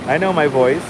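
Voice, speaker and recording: A man chats with his live stream viewers in a calm, carefully enunciated way. His voice sits a touch high for a man. Traffic and city noise run steadily behind him on the phone stream. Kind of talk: casual live stream chat. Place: outdoors on a city street waiting in line. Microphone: phone held for a live stream. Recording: noisy outdoor phone stream. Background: city traffic and street noise.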